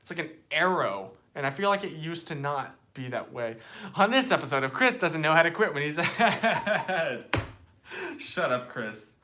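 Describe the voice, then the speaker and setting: A man's voice: fairly high pitch, lively intonation, moderate pace, sharp tone, slight background noise. A young American man chatting casually and rambling on a live stream from his phone, sitting outdoors at night. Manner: rambling, chatty, reads out viewer comments.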